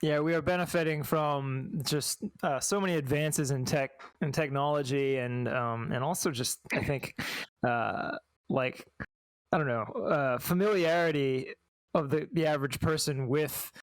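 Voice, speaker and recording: A man talking casually as an interview guest, halting and thoughtful as he explains things. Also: a little high, clear, resonant tone, no echo. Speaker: guest, fan translator.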